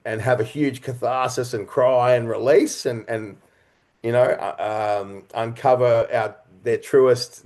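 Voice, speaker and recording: A man speaking as an interview guest, earnest and reflective, explaining his creative work in halting, conversational phrases. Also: medium pitch, a little mellow. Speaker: interview guest, writer-director and actor.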